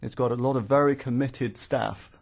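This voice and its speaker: A man working as a conference interpreter, rendering formal speeches live in a halting, phrase-by-phrase way. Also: mid-range voice, fairly even intonation, vocal fry.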